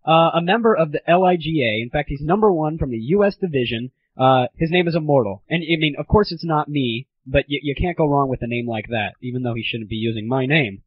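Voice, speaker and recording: A man's voice: fairly high pitch, brisk, few pauses, slightly rough, dry recording. A teenage man hosting a casual comedy monologue on a gaming audio show, irreverent, crude and mock-grandiose, on a lo-fi recording. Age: teens. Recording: dull lo-fi 1990s internet audio.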